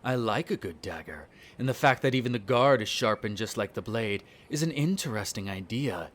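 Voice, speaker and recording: A man's voice: lowish voice, lively intonation, moderate pace, fairly bright tone. A man playing a smug, cruel vampire noble in an audio roleplay, speaking in a haughty, condescending, formal drawl. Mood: haughty, musing. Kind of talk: scripted audio roleplay acting.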